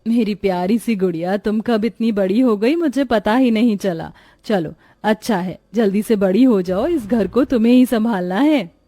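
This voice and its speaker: A woman voicing a gentle, worrying mother from a poor village in a cartoon story. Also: medium pitch, moderate pace, few pauses, no echo, natural voice. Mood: tender. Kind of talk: Hindi cartoon dialogue.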